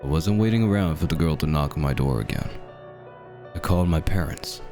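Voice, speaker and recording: A man narrating a scripted horror story in the first person, with a measured, ominous, dramatic delivery. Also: very deep voice. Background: dark background music.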